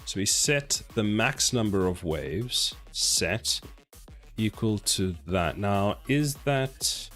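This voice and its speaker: A South African man in his forties streams his game development live, reading viewers' comments aloud and answering in a rambling, self-mocking way. His voice sits fairly low. Soft music plays faintly behind him. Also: expressive, slow pace. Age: 40s. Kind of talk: casual live stream chat while coding.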